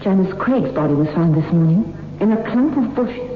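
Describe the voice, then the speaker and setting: A woman's voice: mid-range voice, expressive, moderate pace, phone-quality sound, faint music behind, lightly acted. An actress playing a bold, determined young woman, defiant and pointed, in a 1960s radio suspense drama. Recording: thin, roomy, hissy old radio.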